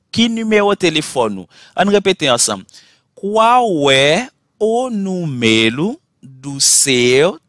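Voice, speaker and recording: A man teaching a language class, saying model phrases slowly and clearly for learners to repeat, then switching to quick explanations. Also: a little high, a bit bright, dry recording, studio quality. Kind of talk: repeat-after-me language drill.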